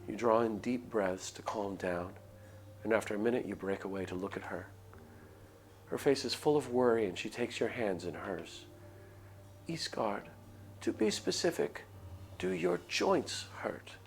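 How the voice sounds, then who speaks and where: A man's voice: on the low side, moderate pace, a little hiss or hum. A man reading a visual novel aloud on a stream, narrating the story expressively. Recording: stream with faint background ambience.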